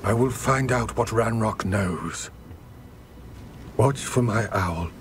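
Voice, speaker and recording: An actor playing a weary, solemn goblin recounting his past in a game cutscene, with measured, somber delivery. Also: lowish voice, a little hiss or hum. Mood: grave. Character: weary old male goblin, solemn and grave. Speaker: game character.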